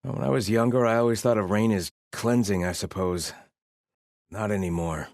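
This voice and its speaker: Haughty, low-voiced soldier in exile, acted by a man. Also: normal speed, a little mellow, no echo, studio quality, lightly acted. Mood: weary, gloomy. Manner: stern, disdainful, formal phrasing. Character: haughty, devout male Carja soldier in bitter exile. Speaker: video game voice actor. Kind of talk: video game character voice lines.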